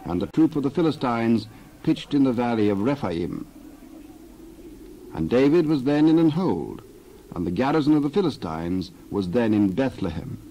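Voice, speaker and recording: A male narrator with a medium-pitched voice reads scripture in a measured, formal style. There is faint noise in the recording. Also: very animated, mellow, lo-fi sound.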